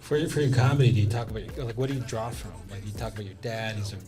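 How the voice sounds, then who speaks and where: A man's voice: lowish voice, racing, music in the background. A man from Chicago, a comedian and co-host, talking in a joking, self-mocking way. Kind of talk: casual interview chat.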